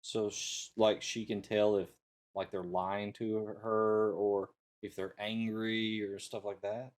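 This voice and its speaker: Man, middle-aged, low-ish pitch, casual co-host, tentative delivery. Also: level tone, slow pace. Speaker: talk show co-host. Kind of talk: casual chat.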